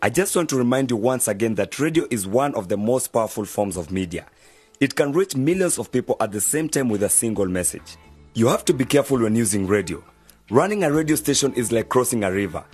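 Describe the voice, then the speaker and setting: An actor playing an earnest, preachy journalist who mentors young broadcasters, speaking in a measured, didactic way in a radio drama. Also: male voice, mid-range voice, lively intonation, a little mellow, husky, faint music behind.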